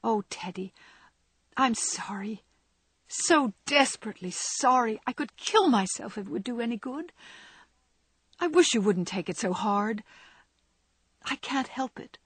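A spirited young woman, earnest and remorseful, as a woman reader voices her. Her tone is breathy and husky at the edges. The sound is dry and close. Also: fairly high pitch, expressive, normal speed, fairly bright tone, no echo, voice pushed up. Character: spirited young woman, kind but firm.

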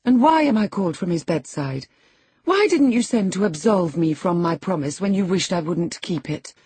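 A woman's voice: mid-range voice, very animated, a little slow, warm tone, husky, no room sound, pitched above the performer's own voice, heavily acted. A woman voicing a spirited, impatient young lady in an audiobook, proud, emphatic and demanding. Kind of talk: audiobook character acting.

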